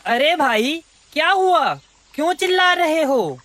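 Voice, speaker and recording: A woman's voice: sits high, big pitch swings, slow, fairly bright tone, heavily acted. A woman voicing a clever, friendly monkey in a cartoon animal story. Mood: concerned.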